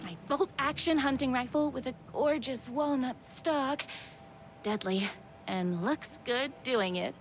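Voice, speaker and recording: An actress playing a perky, obsessive young waitress and superfan in a video game, gushing and melodramatic. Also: female voice, sits high, unhurried, slight background noise. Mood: melodramatic, determined. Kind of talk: theatrical game dialogue and self-narration. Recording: music under the voice.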